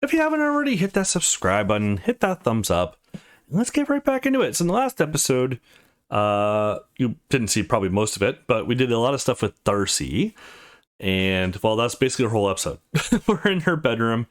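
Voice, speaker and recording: Male let's play host, casual commentary, mid-range voice with highly animated intonation. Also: a little fast.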